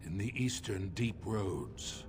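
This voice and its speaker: A man's voice: sits low, sing-song, slow pace, over music, full character voice. An actor playing a stern old dwarf king in a fantasy game, solemn and commanding. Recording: echoing hall, ambient game noise.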